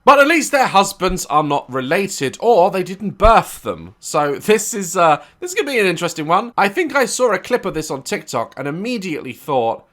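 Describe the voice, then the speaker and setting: Hoarse, jokey man hosting reactions. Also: high voice, very animated, moderate pace, few pauses, fairly bright tone. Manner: jokey, irreverent, swearing. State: hoarse, recovering from a sore throat. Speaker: reaction video host. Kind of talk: casual reaction commentary.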